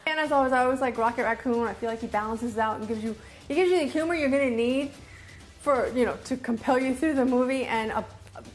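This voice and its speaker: High-voiced woman giving an enthusiastic review. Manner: enthusiastic, emphatic, repeats phrases for emphasis. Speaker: movie reviewer, video host. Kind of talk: casual unscripted review to camera.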